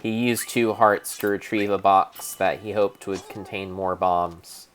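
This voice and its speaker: A man narrating his own gameplay as a solemn, theatrical dramatic reading, with pauses and small slips. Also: low-pitched, little pitch movement, a little hiss or hum. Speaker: game streamer narrating play. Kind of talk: mock-dramatic storybook narration over gameplay.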